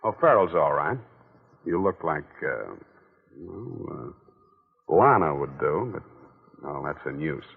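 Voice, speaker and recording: An actor playing a wisecracking, laconic private detective, in 1940s radio acting. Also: male voice, rumbling low, sing-song, a little slow, vocal fry, pauses often, breathy edge, full character voice. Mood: wry. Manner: dry, laconic, teasing. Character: wisecracking hard-boiled male private detective. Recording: tinny old radio broadcast.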